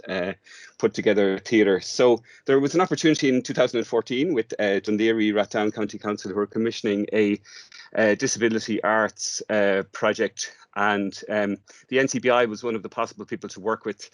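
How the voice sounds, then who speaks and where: A man's voice: on the low side, fairly even intonation, a little fast, no echo. A man, a theatre director, explaining his work as an interview guest, hesitantly, thinking aloud in fragments.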